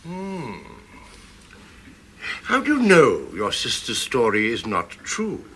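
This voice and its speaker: An older man playing a courtly, kindly, eccentric professor in a radio drama, speaking in a deliberate, formal way. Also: medium pitch, wildly swooping pitch, fairly bright tone, breathy edge, heavily acted. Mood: thoughtful, grave.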